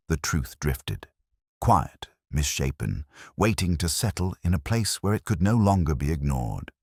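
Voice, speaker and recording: A man narrating a first-person detective story from a script, in a measured, literary and reflective manner. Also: extremely low pitch, no echo, clean studio sound.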